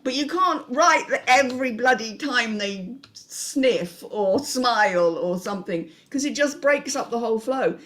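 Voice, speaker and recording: A woman's voice: a little high, lively intonation, measured pace, few pauses, fairly bright tone. A woman hosting a casual script-reading panel discussion, speaking frankly with the odd casual swear word.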